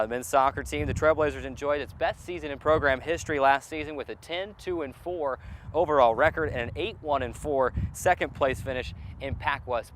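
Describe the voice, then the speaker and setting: A man's voice: mid-range voice, fairly bright tone, a little hiss or hum. A man hosting a sports show, presenting team updates in a scripted broadcast style outdoors at a stadium.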